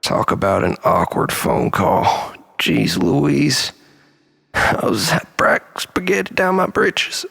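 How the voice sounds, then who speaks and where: A man telling a rambling, melodramatic story as a mock-philosophical monologue, in a husky, gravelly voice with long echo. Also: mid-range voice, big pitch swings, slow, fairly bright tone. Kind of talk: dramatic comic monologue storytelling. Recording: long reverberant hall echo.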